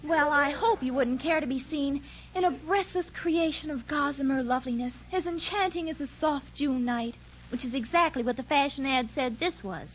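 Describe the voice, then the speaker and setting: Casting: actress as coy, self-important teen girl, high-pitched and flowery, old radio comedy with dull, slightly noisy sound. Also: normal speed, close to an everyday voice. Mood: affected, vain. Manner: gushing, airy, self-important. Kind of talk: 1940s radio comedy acting. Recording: muffled, faintly noisy 1940s radio recording.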